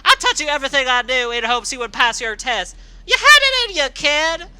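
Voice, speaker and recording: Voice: mid-range voice, sing-song, moderate pace, heavy background noise, pitched above the performer's own voice, close to an everyday voice. A storyteller playing a cruel, furious grown pegasus mare who laughs maniacally and screams with rage. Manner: manic laughter and enraged screaming. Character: villainous adult female pegasus, cruel and furious.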